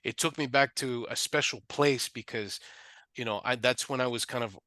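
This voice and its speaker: A man hosting a podcast interview, talking casually and reacting with quick excited interjections. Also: medium pitch, no room sound. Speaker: podcast host.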